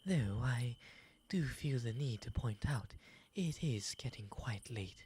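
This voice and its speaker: A man voicing a gentle, courteous owl demon gentleman in an ASMR roleplay, breathy and often whispering, with polite, formal, soothing phrasing. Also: mid-range voice, slow, heavily acted. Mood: courteous, friendly. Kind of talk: ASMR roleplay, intimate slow delivery. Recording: faint steady background ambience noise.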